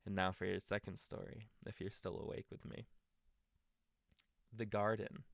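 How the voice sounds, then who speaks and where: A man reading a bedtime story to young children, with gentle asides to the listener. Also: on the low side, flat delivery, moderate pace, creaky, dry recording, clean studio sound. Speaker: storytime reader.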